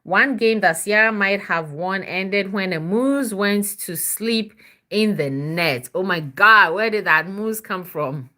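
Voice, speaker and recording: A woman's voice: medium pitch, slow pace, bright, forceful tone. A woman, a mother, reading a story aloud to her child with simple explanations and little check-in questions.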